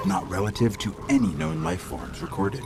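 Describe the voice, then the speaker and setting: An actor playing a small, curious robot companion in a video game, speaking in clipped, inquisitive phrases over music. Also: male voice, lowish voice, sing-song, moderate pace, vocal fry, exaggerated voice. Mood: curious, focused. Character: small male robot companion, curious, brisk, quietly awed. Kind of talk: video game character dialogue. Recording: loud music behind the voice.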